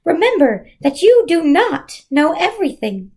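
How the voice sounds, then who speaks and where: A woman's voice: very high-pitched, very animated, a little slow, darkish tone, pitched above the performer's own voice, full character voice. A woman voicing an otherworldly female being in a storybook, reciting cryptic lines in a lofty, theatrical way. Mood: urgent, oracular. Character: otherworldly female being quoting riddling verse, solemn and theatrical.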